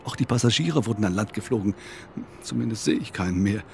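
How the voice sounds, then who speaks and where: A man's voice: fairly low pitch, quick pace, creaky, darkish tone. Sober, troubled man with music behind. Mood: grave, subdued. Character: sober, troubled male ship passenger pleading for stranded refugees. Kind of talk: radio drama acting.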